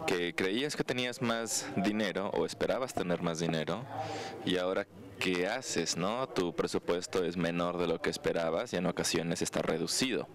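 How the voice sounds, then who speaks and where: A man's voice: low voice, moderate pace, crowd noise. A man interpreting a lecture aloud, clause by clause.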